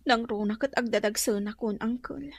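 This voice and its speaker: A woman's voice: a little high, fairly even intonation, moderate pace, no room sound, lightly acted. A woman with an Ilocano accent playing a long-suffering young wife in a radio drama, plaintive and fed up with poverty. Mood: aggrieved. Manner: plaintive, aggrieved.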